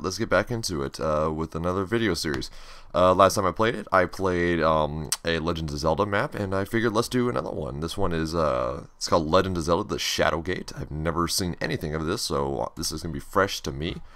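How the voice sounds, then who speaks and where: Slightly raspy young man playing a game. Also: sits low, animated, fairly quick, fairly bright tone. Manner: chatty, reads on-screen text aloud, upbeat. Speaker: gaming channel host. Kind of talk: casual let's play commentary.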